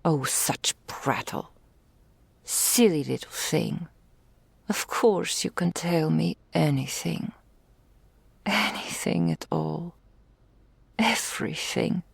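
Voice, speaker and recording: A woman's voice: sits low, expressive, no room sound, exaggerated voice. A woman playing a wealthy, doting, possessive female dragon in an intimate ASMR roleplay, speaking in grand, refined phrases that slip into whispers. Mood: tender, resigned. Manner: grandiloquent, slow, affectionate, with breathy whispered phrases.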